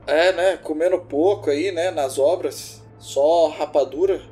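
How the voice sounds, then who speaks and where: Tabletop game role: easygoing working man played by a man, mellow tone, slightly high, colloquial and hesitant. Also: unhurried, soft background music, lightly acted. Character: laid-back, plain-spoken working man, easygoing sidekick. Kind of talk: improvised tabletop roleplay, narrating own actions.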